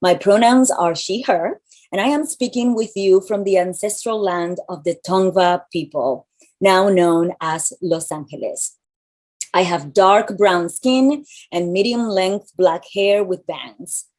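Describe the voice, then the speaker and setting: Woman, mild Spanish accent, deep voice, measured scripted announcements, event host, video call. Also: darkish tone. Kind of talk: reading scripted welcome announcements. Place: large room.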